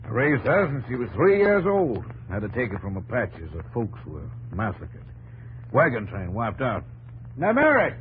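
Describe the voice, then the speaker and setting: A man's voice: mid-range voice, huge pitch swings, moderate pace. A man playing a seasoned, fatherly, self-assured rancher with a folksy manner in a 1950s radio drama. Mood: plainspoken. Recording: faintly noisy old radio broadcast.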